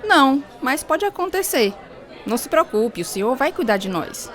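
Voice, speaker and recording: A woman's voice: sits high, sing-song, normal speed, fairly bright tone, faint music behind, a faint crowd. A woman playing a kindly, reassuring older churchgoer in a radio drama.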